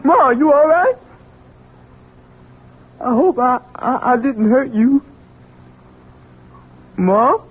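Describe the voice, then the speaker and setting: An actor playing a simple-minded, childlike grown son in an American radio drama, speaking in a halting, pleading rustic way. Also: male voice, very high-pitched, lively intonation, husky, exaggerated voice. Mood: meek, apologetic. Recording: tinny band-limited old radio broadcast.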